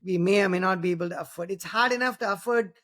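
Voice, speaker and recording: A man's voice: sits high, fast, fairly bright tone. Rambling man with an Indian accent. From Tamil Nadu, southern India. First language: Tamil. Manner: rambling, many restarts and "you know", "right?" tags. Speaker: podcast host. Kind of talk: casual interview conversation.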